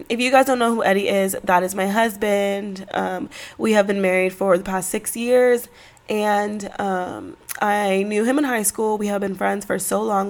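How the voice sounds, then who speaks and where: A woman in her early thirties hosts her own podcast, her nose stuffed up from a cold. Her voice has a gravelly, creaky edge, and she rambles in a loose, slangy way. Her pitch sits in a middle range for a woman. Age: 30s. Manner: chatty, rambling, slangy, laughs between thoughts. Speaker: podcast host. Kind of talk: casual solo podcast chat.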